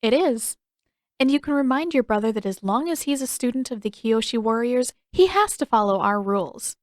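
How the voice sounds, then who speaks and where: A woman's voice: fairly high pitch, expressive, moderate pace, dry recording, clean studio sound, voice pushed up, lightly acted. Woman as a stern, terse teenage warrior girl. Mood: firm. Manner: firm, terse, rule-bound.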